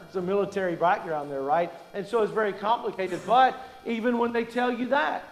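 A man's voice: sits high, fairly quick, big-room sound. A man from Kentucky preaching a sermon as pastor, folksy and joking as he teaches.

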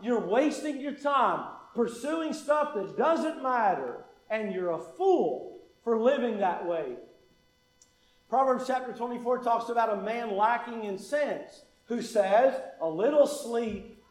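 A man with a high voice preaches earnestly, repeating his key phrases for weight and darting into quick asides. The sound is roomy, with a clear echo around him, as in a church hall. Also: expressive. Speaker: pastor. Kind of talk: sermon.

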